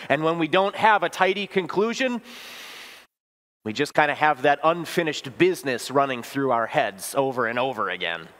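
A man's voice: on the high side, a bit bright. A male pastor in his thirties preaching a sermon in a church sanctuary, conversational and storytelling, asking the congregation questions. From Wisconsin, US. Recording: long church reverb.

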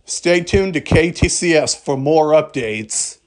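Slow, formal male emergency announcer. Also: fairly high pitch, lively intonation. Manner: formal, measured. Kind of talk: scripted emergency broadcast announcement.

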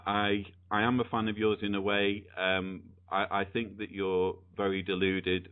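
A man's voice: low voice, phone-quality sound. A British man in his thirties giving casual commentary as a vlogger. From United Kingdom. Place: small indoor room at a desk.

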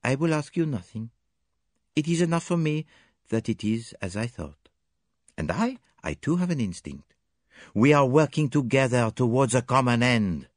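A man voicing a calm, shrewd male detective in a storybook reading, formal, measured and gently insistent. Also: medium pitch, no echo, clean studio sound, voice pushed up. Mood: quietly confident. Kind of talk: audiobook character dialogue.

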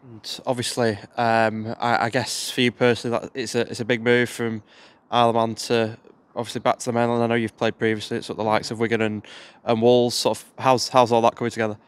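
A man's voice: mid-range voice, level tone, fast talker, clean tone. A man asking hesitant, filler-heavy interview questions outdoors in a stadium stand into a handheld mic. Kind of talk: club media interview.

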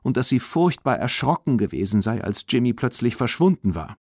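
A man reading a children's audiobook as narrator, in an expressive storytelling style. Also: medium pitch, fairly quick, no echo, clean studio sound.

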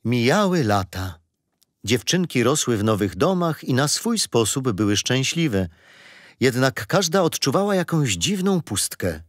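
An actor playing a patient, gentle grandfather telling children a history story in a radio play. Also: mid-range voice, very animated, a little slow, no echo, clean studio sound. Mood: storytelling. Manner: gentle, didactic.

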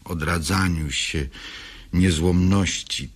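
A man with a husky voice giving a reflective introduction to a play as its writer, halting and deliberate, with long pauses. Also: deep voice, fairly even intonation. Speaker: playwright introducing own play.

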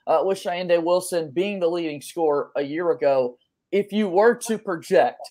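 A man's voice: a little high, moderate pace, darkish tone. A young adult man hosting a sports podcast in a conversational style over a video call. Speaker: podcast host. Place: small room at home, on a video call.

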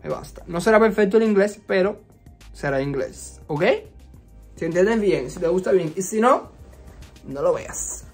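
A young man from Cuba with a Cuban Spanish accent and a notably high-pitched voice. He talks in a chatty, expressive way, full of fillers and playful sass, like a vlogger telling a story. Faint music plays underneath. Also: normal speed, breathy edge. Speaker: YouTuber. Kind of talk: casual storytime vlog. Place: resort vacation rental.

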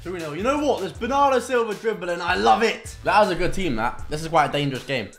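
A young man commentating on his own gameplay at home. His voice sits high and swings wildly, jumping from low muttering to sharp yelps. The room rings with echo, and faint game music plays underneath. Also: quick pace. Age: young adult. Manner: excitable, loud outbursts at goals, muttering between plays. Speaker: gaming content creator. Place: home gaming room indoors.

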